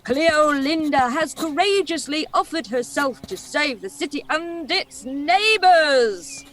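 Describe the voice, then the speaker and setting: A woman's voice: sits high, animated, slow, fairly bright tone, slight background noise, exaggerated voice. A woman playing a cheeky, theatrical young damsel in a stage play, telling her tale in a gossipy, confiding way. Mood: gossipy, amused. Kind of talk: stage play storytelling monologue.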